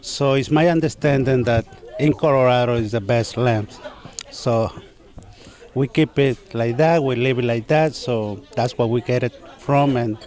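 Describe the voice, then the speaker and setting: A man's voice: medium pitch, a little mellow. A plain-spoken man from Mexico who grew up in Brooklyn, answering interview questions as a chef and repeating his phrases. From Mexico, raised in Brooklyn, New York. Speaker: executive chef being interviewed. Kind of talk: casual on-camera interview. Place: inside a restaurant.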